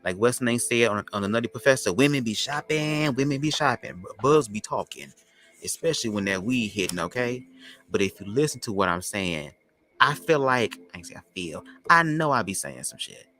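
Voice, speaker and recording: A man with a low, raspy voice, hosting a podcast as a loose, slangy spiritual monologue. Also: animated, sharp tone. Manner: loose, slangy, drawn-out talk. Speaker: podcast host.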